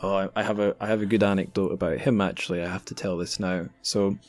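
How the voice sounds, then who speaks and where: A man's voice: low-pitched, flat delivery, fast, faint music behind. A man hosting a podcast, chatting casually and telling anecdotes. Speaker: podcast host.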